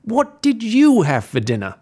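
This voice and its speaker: A man's voice: high-pitched, wildly swooping pitch, a little slow, warm tone, voice pushed up, full character voice. A man voicing a sly, greedy male wolf who talks with fake politeness in a storybook reading. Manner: smarmy, wheedling. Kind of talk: storybook character voice.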